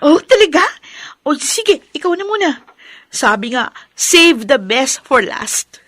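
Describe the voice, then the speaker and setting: An actress playing an emotional adopted daughter in a Filipino radio drama, with a Filipino accent. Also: female voice, sits high, very animated, takes it slowly, a bit bright, no room sound, full character voice. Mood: eager, affectionate. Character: grief-stricken adopted daughter, anguished and bitter at God. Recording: music under the voice.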